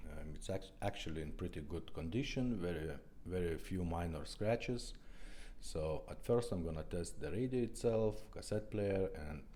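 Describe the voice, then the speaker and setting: Low, mellow-voiced man demonstrating a product. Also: normal speed, a little hiss or hum. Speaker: car radio restorer, presenter.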